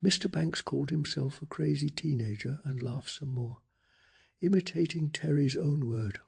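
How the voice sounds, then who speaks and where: A man narrating a story in a calm, measured, gentle soft-spoken ASMR style. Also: a little high, gravelly, husky. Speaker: narrator of a bedtime story channel.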